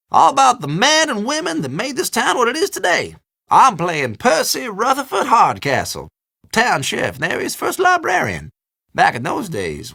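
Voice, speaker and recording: Lively, high-pitched old country storekeeper. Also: male voice, gravelly, slightly rough, dry recording, studio quality, full character voice. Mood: folksy, helpful, then theatrical, folksy. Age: older. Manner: folksy drawl, colloquial, teasing. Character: folksy, chatty old small-town storekeeper, a man. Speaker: small-town general store keeper. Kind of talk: video game character dialogue.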